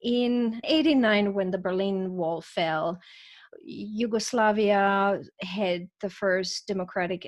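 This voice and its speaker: A woman from Croatia, an author appearing as a podcast guest, telling her story in an eager, quick way. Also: mid-range voice. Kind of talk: interview conversation.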